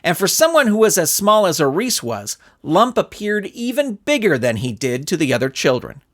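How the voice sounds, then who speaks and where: A man reading a scripted story aloud in a theatrical, expressive storytelling manner. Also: high-pitched, normal speed. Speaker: narrator and author reading his own story. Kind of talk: animated scripted audiobook narration.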